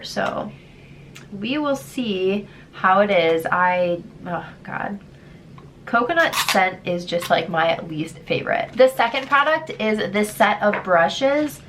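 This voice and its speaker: A woman's voice: mid-range voice, fairly bright tone, a little hiss or hum. Congested young woman vlogging a try-on. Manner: chatty, candid, reads product blurbs aloud. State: congested, sick. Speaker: beauty vlogger. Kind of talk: casual product try-on chat.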